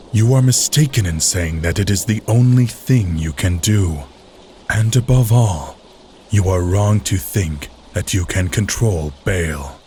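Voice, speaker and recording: An actor voicing a weary, stern, powerful immortal man in a video game, speaking gravely and with measured delivery. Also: sits low, slight background noise. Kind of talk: dramatic video game dialogue.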